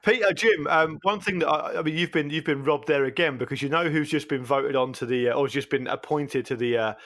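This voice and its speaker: A man's voice: a little high, a little fast, a bit bright. A man chatting casually as a football podcast panellist, wordy and often circling back over his words.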